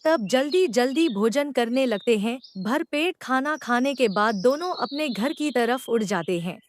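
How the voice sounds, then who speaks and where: A woman's voice: fairly high pitch, expressive, a little slow. A woman storyteller reading scripted narration for a children's cartoon, on a slightly noisy voice-over.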